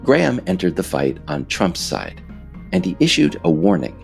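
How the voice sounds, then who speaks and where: An American man reading scripted political narration in a measured, emphatic, explanatory way. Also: low voice, huge pitch swings, creaky, soft background music. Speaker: author narrating his audiobook episode.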